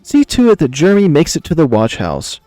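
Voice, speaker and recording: A man's voice: on the high side, wildly swooping pitch, a little fast, dark tone, no room sound, faint music behind. A man voicing a commanding, impatient beast emperor in a visual novel, brusque and dryly amused as he questions his men.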